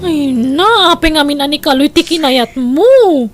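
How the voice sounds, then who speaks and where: An outspoken Ilocano friend, acted by a woman in an audio drama. Her voice is notably high, and she gives blunt, indignant advice. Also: lively intonation, a little slow, a little hiss or hum. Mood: indignant, concerned.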